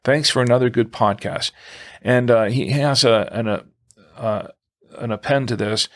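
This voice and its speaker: Male podcast host, mid-pitched, conversational, pausing often as he goes. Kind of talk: conversational podcast hosting with listener mail.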